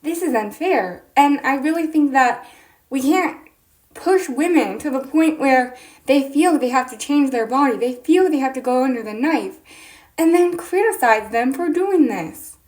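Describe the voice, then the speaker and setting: High-voiced young woman, earnest and insistent. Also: lively intonation, a little slow, a little mellow. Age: young adult. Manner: earnest, insistent, rising to indignant questions. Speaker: TikTok creator. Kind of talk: opinion vlog to camera.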